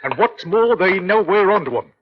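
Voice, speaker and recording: A man's voice: high-pitched, animated, moderate pace. A man playing a doubtful but deferential junior police detective in an old radio crime drama. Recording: muffled, noisy old radio recording.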